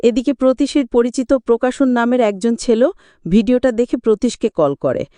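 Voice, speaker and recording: Female movie-recap narrator, smooth and resonant, dry studio sound, dramatic scripted storytelling. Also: on the high side, moderate pace, mellow, no echo.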